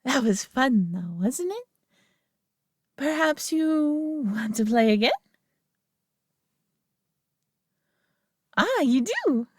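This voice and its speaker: A woman playing a prideful, possessive alien being in a roleplay audio drama, talking down to the listener with coaxing, deliberate care and dropping into whispers. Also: high voice, expressive, husky, dry recording, studio quality, heavily acted. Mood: playful, teasing. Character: prideful, possessive female superior alien being, condescendingly caring.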